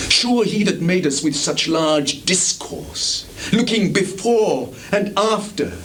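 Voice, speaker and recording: Anguished prince male actor, higher-pitched, unhurried declamation full of self-reproach, classical live theatre on noisy tape. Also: fairly bright tone, heavily acted. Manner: declamatory, deliberate, impassioned. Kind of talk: Shakespearean stage acting. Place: small theatre stage in Tokyo.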